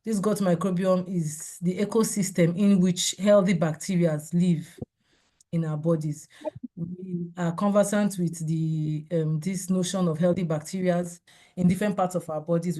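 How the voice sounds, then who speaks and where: Hoarse woman giving a health talk. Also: fairly low pitch, fairly even intonation, dark tone. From Nigeria. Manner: measured, explanatory, clears throat often. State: hoarse from a cold. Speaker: seminar speaker. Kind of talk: online health talk with slides.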